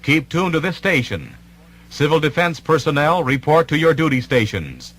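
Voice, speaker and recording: A man reading an official emergency announcement in a vintage civil defense radio style, formal, deliberate and authoritative. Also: on the high side, sing-song, fairly bright tone.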